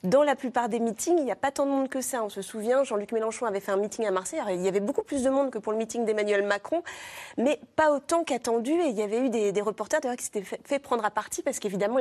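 A woman political journalist explaining campaign events on a studio panel, quick and full of restarts. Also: fairly high pitch, a little mellow. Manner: fast, explanatory, many restarts.

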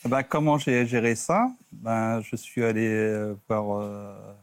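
A middle-aged man, a talk show guest recalling his own life in a halting, plain-spoken, casual way. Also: fairly low pitch, fairly even intonation, no echo, clean studio sound. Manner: halting, plain-spoken, often tags phrases with a filler. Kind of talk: casual personal recollection. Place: TV studio.

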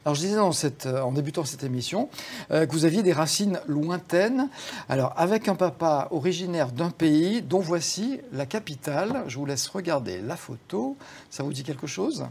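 A TV show host leading a relaxed interview, chatty, prompting the guest with quick interjections and suggestions. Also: mid-range voice, husky. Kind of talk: conversational interview hosting. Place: TV studio.

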